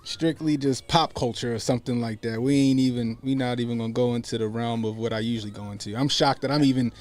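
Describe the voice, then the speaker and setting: A man's voice: mid-range voice, steady tone, fast, a little mellow. An American man in his late thirties hosting a stream in casual, slangy, salty-tongued, joking chat. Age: late 30s. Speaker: podcast and livestream host. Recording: music bed under speech. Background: music.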